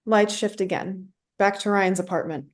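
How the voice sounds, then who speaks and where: A woman's voice: mid-range voice, fairly even intonation, normal speed. A woman reading scripted stage directions as narrator for a play reading.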